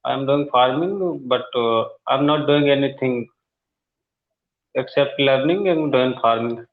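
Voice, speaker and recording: A man from India with an Indian accent practicing spoken English. He pauses often with fillers, and his grammar shows English is not his first language. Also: medium pitch. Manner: hesitant, many fillers, non-native grammar. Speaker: English learner. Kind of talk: online conversation practice. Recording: tinny phone-like call audio.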